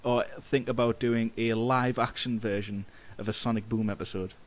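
A man's voice: fairly low pitch, fairly even intonation, normal speed, a little hiss or hum. A man hosting a casual interview podcast, hesitant and often repeating words as he asks questions. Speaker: podcast host.

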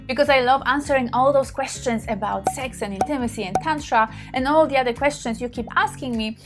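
A woman in her thirties from Poland, speaking English with a Polish accent as a native Polish speaker, chatting openly to camera from her bedroom and laughing often. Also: fairly high pitch. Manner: warm, open, laughs often, gestures while talking. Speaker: sex therapist, channel host. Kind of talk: casual to-camera talk. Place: small bedroom, indoors.

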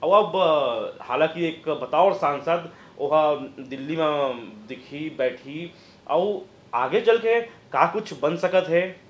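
A man in his thirties with a Chhattisgarhi accent, giving conversational political commentary in an explanatory, halting manner. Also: a little high, expressive, roomy sound. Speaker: news presenter and political analyst. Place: indoor news studio, roomy sound. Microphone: lapel mic.

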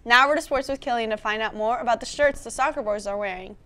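A woman's voice: fairly high pitch, fast. A teenage American young woman anchoring scripted school news announcements. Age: teens. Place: indoor school studio.

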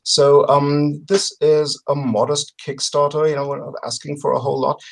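A man in his forties chatting casually as a guest on a video call, explaining things haltingly and thinking aloud. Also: on the high side, level tone, creaky. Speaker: podcast guest. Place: home room with bookshelves. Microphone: computer mic on a video call.

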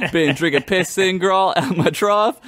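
A man plays a cocky wizard rapper from a comedy podcast tale, crude and swaggering. His slightly high voice bounces through silly rhymes, close and dry. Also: slow, fairly bright tone, no echo. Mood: boastful. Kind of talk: comic freestyle rap in a story.

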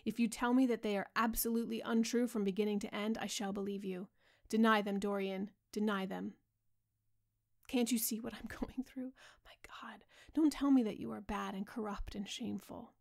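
A woman reading the part of an older male painter, speaking gravely and earnestly as he pleads with his friend. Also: medium pitch, steady tone, normal speed, dark tone. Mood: pleading.

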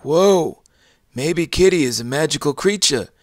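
A man's voice: on the high side, animated, unhurried, faint music behind, pitched above the performer's own voice. A man voicing a whimsical, confident animal puppet sidekick in children's banter. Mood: wonder, stubborn.

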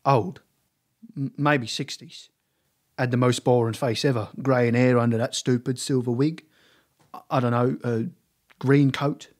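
A talkative, cowardly male street thug from an audio drama, acted by a man. His voice is medium in pitch. He is slangy and nervous, blurting things out in clipped bursts. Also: fairly even intonation, unhurried, long pauses, close to an everyday voice. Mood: nervous, confessing.

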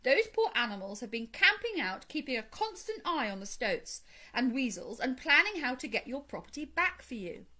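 A woman's voice: fairly high pitch, big pitch swings, normal speed, a bit bright, breathy edge, heavily acted. Woman as a fussy, chiding male rat. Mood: scolding. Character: fussy, sensible male water rat, earnest organiser.

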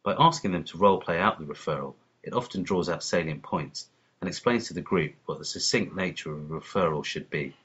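A man with a very low, husky voice gives a scripted promotional narration as a course lead. His delivery is formal and measured, and the recording sounds muffled and lo-fi. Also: expressive, a bit bright. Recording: muffled lo-fi voice-over.

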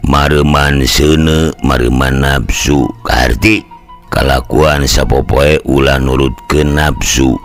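A middle-aged man with a Sundanese accent telling a scripted story in an unhurried, folksy way. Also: rumbling low, steady tone. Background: faint music.

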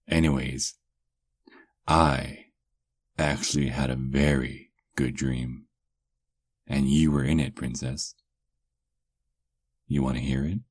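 Very deep, slow vampire lover played by a man. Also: steady tone, no echo, clean studio sound, full character voice. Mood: adoring. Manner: slow, intimate murmuring with soft chuckles. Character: doting, teasing male vampire lover, affectionate and possessive. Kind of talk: ASMR romantic roleplay. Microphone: close microphone, dry.

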